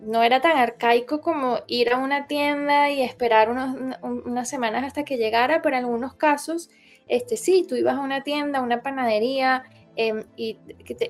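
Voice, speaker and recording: A young woman from Colombia, raised in Venezuela, giving a hesitant interview full of fillers and restarts. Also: fairly high pitch. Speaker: interviewee, founder. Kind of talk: interview testimony.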